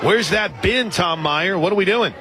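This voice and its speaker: A man doing live radio play-by-play commentary of a softball game as the announcer. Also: high voice, normal speed. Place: outdoor softball stadium broadcast position. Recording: loud stadium crowd noise behind. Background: loud crowd and ballpark ambience.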